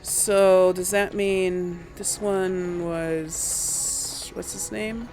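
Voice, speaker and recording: A woman's voice: on the low side, steady tone, extremely slow, darkish tone, faint music behind, low-quality recording. A woman giving casual let's play commentary on a video game, thinking aloud as she plays. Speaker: gamer narrating a role-play playthrough.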